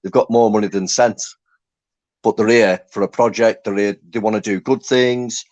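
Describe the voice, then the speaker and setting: A man's voice: lowish voice, fairly even intonation, normal speed, a little mellow, no echo, dull audio. A man from Lancaster hosting a fan forum, chatting in an enthusiastic, matey way. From Lancaster, England. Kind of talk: casual fan chat.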